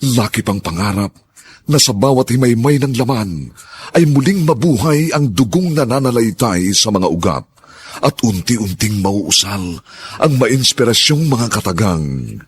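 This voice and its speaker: Slow solemn Filipino radio announcer man. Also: on the low side, expressive, husky. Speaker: radio drama narrator and announcer.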